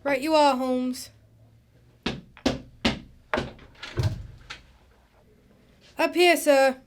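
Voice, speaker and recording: Woman doing amiable gentleman detective's sidekick, radio play, highish voice, chatty n eager. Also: fairly even intonation, measured pace, slight background noise. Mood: brisk, helpful. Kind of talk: amateur radio drama acting.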